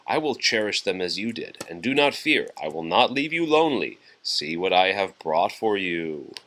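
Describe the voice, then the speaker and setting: Man reading as a magical moon mother, solemn, reassuring, bright lowish voice, storybook. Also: sing-song, moderate pace. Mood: solemn, grateful. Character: gentle, grateful moon mother, a magical woman.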